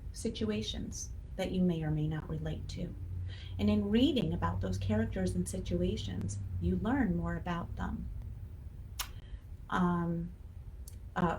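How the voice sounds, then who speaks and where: Female educator, slightly low voice, encouraging and conversational, addressing parents; some background noise. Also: mellow, rough recording. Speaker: children's literacy educator and blog host. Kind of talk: casual educational talk to parents.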